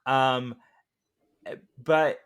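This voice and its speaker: A man's voice: medium pitch, steady tone, drawn-out words, a bit bright, clean tone. Man chatting casually about a film. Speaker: podcast co-host.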